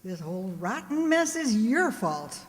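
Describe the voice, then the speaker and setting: A woman's voice: medium pitch, measured pace. An actress playing a crook's sarcastic, jittery, wisecracking female accomplice in an old-time detective radio drama. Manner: wisecracking, excitable. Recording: echoey hall with long reverb.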